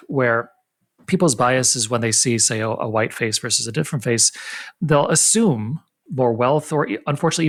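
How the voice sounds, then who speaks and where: Animated male podcast host. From United States. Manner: animated, pitch jumps up to stress points, quick asides. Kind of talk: casual interview.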